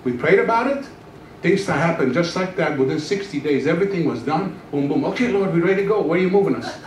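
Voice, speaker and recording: Animated middle-aged pastor telling his story. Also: fairly high pitch, fast talker, slight background noise. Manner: animated storytelling, acts out dialogue. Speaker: guest pastor. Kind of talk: testimony sermon. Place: church stage, indoors. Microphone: handheld mic. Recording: echoey room from far off.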